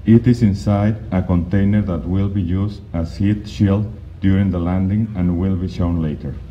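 Man, very low voice, halting conference presenter with many restarts; dull lo-fi recording, faint hiss. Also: steady tone, warm tone. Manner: halting, with frequent restarts and fillers. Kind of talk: slide presentation talk. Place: conference hall.

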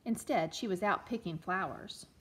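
A woman reading a storybook aloud to young children as a teacher, expressively, pausing to explain and ask questions. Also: medium pitch, fairly bright tone, low-quality recording. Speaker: elementary teacher reading a picture book aloud.

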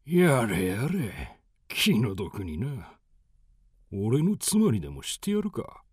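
An actor playing a domineering nomad raider chieftain in a radio drama, with rough, commanding, coarse speech. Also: male voice, medium pitch, huge pitch swings, takes it slowly, darkish tone, dry recording, full character voice. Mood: casual, callous.

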